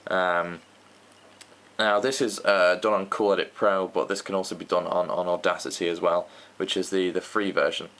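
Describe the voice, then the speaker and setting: Low-voiced young man explaining. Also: little pitch movement, normal speed, fairly bright tone. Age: young adult. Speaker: host, hobbyist record restorer. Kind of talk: informal tutorial vlog. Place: small room at home, indoors. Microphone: camera microphone. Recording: faint background noise, home recording.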